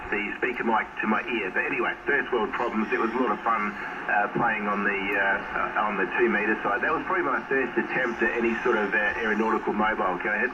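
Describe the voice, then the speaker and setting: Through a heavily noisy, muffled shortwave radio signal comes a distant Australian man. He speaks at a middling pitch, chatting casually like a relaxed amateur radio operator. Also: fast. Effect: shortwave radio transmission. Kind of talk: casual ham radio chat.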